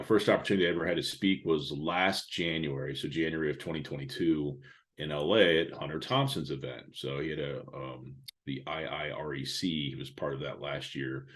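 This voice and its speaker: A middle-aged man from the Indianapolis area with a loud radio-style voice, chatting casually as a podcast guest and trailing off quietly at the ends of phrases. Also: deep voice. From Indianapolis area, USA. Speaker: podcast guest, speaker and author. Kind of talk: casual interview talk.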